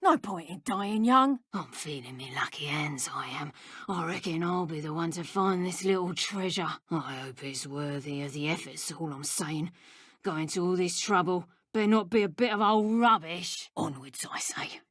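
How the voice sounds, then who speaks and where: A woman playing a brash, boastful dark wizard poacher in a video game, with a working-class English accent and a cheeky, colloquial way of talking. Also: lowish voice, moderate pace, breathy edge, dry recording, studio quality. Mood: taunting, aggressive, then quietly grumbling. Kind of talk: scripted video game enemy voice lines.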